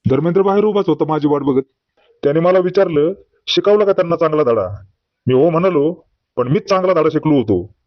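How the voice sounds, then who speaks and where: Man voicing old village braggart, highish voice, earthy scolding talk, cartoon comedy, dull dry recording. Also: animated, brisk, no room sound, lightly acted. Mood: storytelling. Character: boastful old village man, grieving, exasperated, telling tall tales.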